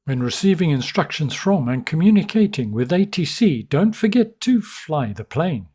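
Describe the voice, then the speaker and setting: A man's voice: fairly high pitch, sing-song, moderate pace, warm tone, slightly rough. A man narrating an instructional tutorial in an orderly, explanatory way. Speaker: flight sim tutorial narrator.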